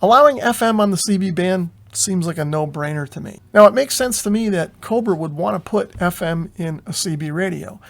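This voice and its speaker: An American man hosting an informal explainer, talking in a measured, explanatory way as a hobbyist giving his own take. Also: high voice, creaky. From United States. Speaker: video host, amateur radio hobbyist. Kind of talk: informal explainer commentary on radio news.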